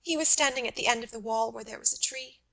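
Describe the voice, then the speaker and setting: A woman's voice: on the high side, creaky, a bit bright, voice pushed up. Woman acting sad, grieving young wife, veiled voice. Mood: anguished. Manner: sad, halting. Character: grieving young Irish wife recalling a lost love.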